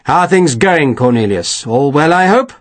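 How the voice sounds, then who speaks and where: A man's voice: fairly high pitch, lively intonation, normal speed, dry recording, close to an everyday voice. A man voicing a genteel, evasive army colonel with a breezy, placating manner.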